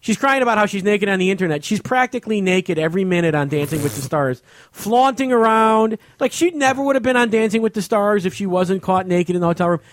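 A man's voice: high-pitched, animated, fast talker, slight background noise. A man hosting a casual comedic podcast, talking in a blunt, joking way.

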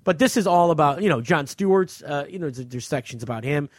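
A man's voice: a little high, expressive, brisk, dry recording, clean studio sound. A man co-hosting a podcast, chatting casually and giving emphatic, often profane opinions. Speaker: podcast co-host reviewing a book.

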